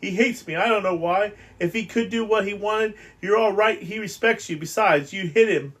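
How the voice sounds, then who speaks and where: A man reading aloud and voicing an anxious, fretful schoolboy whose voice rises toward a squeak. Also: normal speed, a bit bright. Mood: pleading. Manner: pleading, anxious, voice rising.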